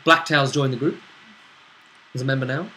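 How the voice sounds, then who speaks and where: A man's voice: mid-range voice, steady tone, moderate pace, sharp tone, low-quality recording. A man chatting through a recap of a tabletop role-playing session as game master, in a bantering, conversational storytelling way. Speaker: game master and show host.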